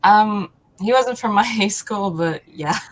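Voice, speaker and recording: A woman, answering casually and haltingly as a talk show guest. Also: medium pitch, rough recording. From Poland. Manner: hesitant, halting.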